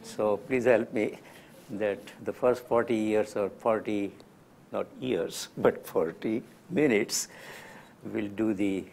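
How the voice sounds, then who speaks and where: A man in his nineties, a native Gujarati speaker from India, giving a gentle, unhurried spiritual talk with soft laughs. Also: fairly low pitch, creaky, slight background noise. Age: 90s. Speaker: lecturer.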